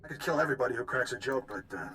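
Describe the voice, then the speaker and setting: A man's voice: mid-range voice, racing, sharp tone, slight background noise. A man voice-acting a vengeful, sardonic crime boss in a video game, menacing in an offhand way. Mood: sardonic menace.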